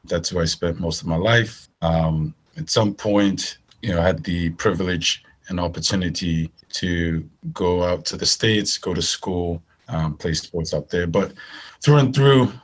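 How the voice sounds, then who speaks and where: Nigerian guy, really low voice w some rasp, chatting as a podcast guest, hammers his points. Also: a little slow. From northern Nigeria. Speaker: podcast guest, agribusiness managing director. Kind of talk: conversational interview answers.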